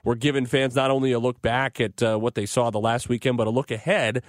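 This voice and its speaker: An American man hosts conversational sports radio in a measured, explanatory manner. His voice sits at a middling pitch for a man. The recording is dry, with no room sound around it. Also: fairly even intonation, no echo.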